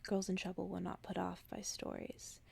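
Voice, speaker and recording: A woman reading a story aloud in a soft, breathy ASMR whisper, hushed and gentle. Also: lowish voice, completely flat delivery, moderate pace.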